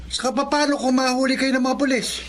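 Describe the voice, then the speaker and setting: An actor playing a cheeky youngest son, a school dropout, in a radio drama. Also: male voice, very high-pitched, little pitch movement, a little fast, fairly bright tone, a little hiss or hum. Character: youngest son, dropout who likes hanging out.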